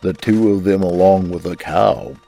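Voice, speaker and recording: A man's voice: low-pitched, steady tone, moderate pace, vocal fry, dark tone, slight background noise. A man voicing a big brother farm pony who tells a rhyming holiday story. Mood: calm storytelling.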